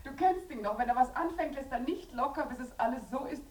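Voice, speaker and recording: An actress plays a house-proud wife and hostess in a radio drama. Her voice sits high. She gushes over guests and chatters proudly about her home. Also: a little fast, a little mellow, close to an everyday voice. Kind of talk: radio play acting.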